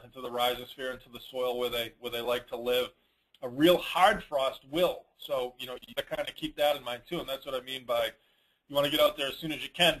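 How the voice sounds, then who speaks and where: A man's voice: medium pitch, a bit bright, low-quality recording. Man giving blunt, colloquial advice. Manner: blunt, colloquial, emphatic repetition. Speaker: host of a dealer network conference call answering questions. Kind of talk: informal Q&A, advising.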